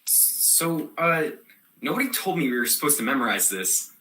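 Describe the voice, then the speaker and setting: Actor doing a scatterbrained mouthy student monologue, bright voice all over the place, echoey room. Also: medium pitch, very animated, normal speed. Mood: sheepish, grumbling. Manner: rambling, slangy, with sudden yelled outbursts. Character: scatterbrained, excitable, defiant student in theater class telling wild stories. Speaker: performer of a comic theater monologue. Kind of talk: theatrical comic monologue, slangy storytelling.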